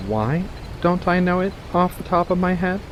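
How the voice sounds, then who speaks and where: A man voicing a playful, coy female witch with faint menace in a soft-spoken ASMR roleplay, speaking in a coaxing, deliberate way with pauses. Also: sits high, lively intonation, slight background noise, pitched above the performer's own voice. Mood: coy, musing.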